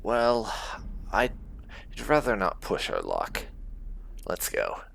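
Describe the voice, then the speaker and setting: Male gaming host, casual roleplay commentary, unhurried and musing, very wide swings in intonation. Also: on the low side, huge pitch swings, vocal fry, fairly bright tone, slight background noise.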